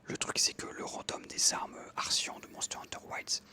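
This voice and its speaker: An ASMR creator whispering casually into a close microphone over gameplay, rambling with frequent hesitations. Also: moderate pace. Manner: soft whisper, casual and rambling with many fillers.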